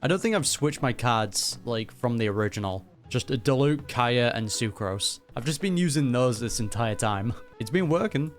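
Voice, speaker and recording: A man's voice: medium pitch, lively intonation, moderate pace, hardly any pauses. A young man giving casual, slangy commentary as he reacts to a video game, easily amused. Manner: amused, frequent chuckling, slangy. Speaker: gaming reaction YouTuber. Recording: game music behind voice.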